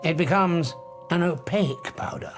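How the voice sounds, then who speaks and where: An actor playing an obsessive, grandiose scientist in an audiobook drama, arrogant and emphatic, lecturing with dramatic pauses. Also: male voice, fairly high pitch, soft background music, close to an everyday voice. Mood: insistent.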